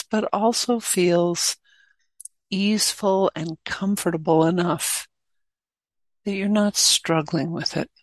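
Female meditation guide, lower-pitched, very unhurried and gentle, short phrases with long gaps. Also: no room sound. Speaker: meditation teacher.

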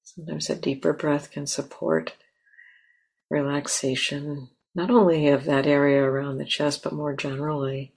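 A woman teaching a guided meditation, speaking gently in short phrases with long pauses between them. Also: low voice, flat delivery, vocal fry, slightly rough, poor audio.

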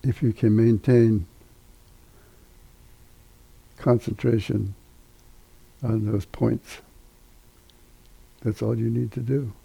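Meditation teacher, older male, very dark mellow tone, husky, halting delivery with long pauses. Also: on the low side, dry recording, poor audio. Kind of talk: guided meditation instruction.

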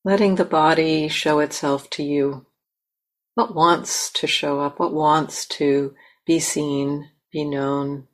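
A woman meditation teacher leading a guided meditation in a gentle, unhurried manner with long pauses. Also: deep voice, steady tone.

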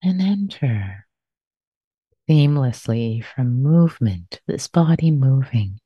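A woman meditation teacher leading a guided meditation in a soft, breathy voice, gently and unhurriedly, with long pauses, on a dull lo-fi recording. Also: a bass voice, expressive, no echo.

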